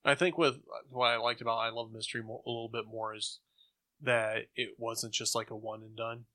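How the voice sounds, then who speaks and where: A man's voice: medium pitch, level tone, fairly quick, bright, forceful tone. A man on a podcast, casually sharing his opinions in conversation. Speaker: podcast co-host.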